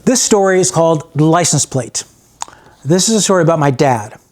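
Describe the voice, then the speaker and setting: An American man hosting and telling stories casually, in an animated way with phrases repeated for emphasis. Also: fairly high pitch, moderate pace. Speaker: host and storyteller. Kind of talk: casual spoken storytelling.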